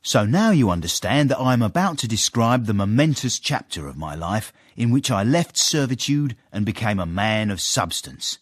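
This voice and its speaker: Self-satisfied servant turned gentleman, British, animated intonation, genteel formal phrasing, period radio drama. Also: on the low side, normal speed, hardly any pauses, dry recording, clean studio sound. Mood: smug storytelling. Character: socially climbing manservant turned gentleman, smug and courteous. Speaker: narrator and lead character. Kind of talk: radio period drama, first-person storytelling.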